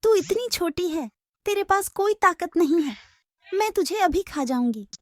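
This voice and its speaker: Woman acting storybook demoness, huge and arrogant, mocking, boastful, high raised pitch, dry sound. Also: animated, normal speed, darkish tone, no room sound.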